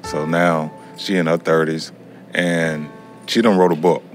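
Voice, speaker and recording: A man's voice: rumbling low, level tone, unhurried. A middle-aged American man talks earnestly and colloquially in an interview as a student. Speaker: prison education student. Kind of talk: candid interview talk. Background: acoustic guitar music.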